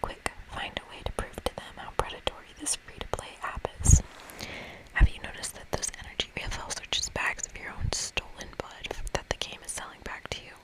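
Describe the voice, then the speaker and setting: A woman's voice: a little fast, rough recording. Whispering woman, ASMR game reading. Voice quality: breathy whisper. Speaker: ASMR gamer reading a game's dialogue aloud. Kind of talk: whispered ASMR let's play.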